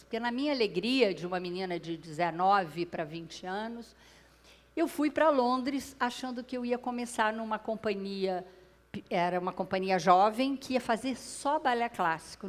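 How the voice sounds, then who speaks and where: A Brazilian woman speaks on stage through a clip-on mic, in a Brazilian Portuguese accent. She tells her story to a live audience and often turns to them directly. Her voice sits at a middle pitch for a woman. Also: normal speed. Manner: lively storyteller who addresses the audience directly. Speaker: speaker at a public talk. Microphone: clip-on microphone.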